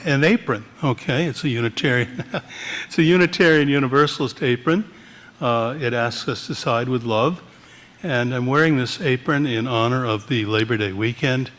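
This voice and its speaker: A man, a minister, giving a measured church welcome and introducing a guest, with frequent pauses. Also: medium pitch, a bit bright. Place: church sanctuary. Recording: long hall reverb.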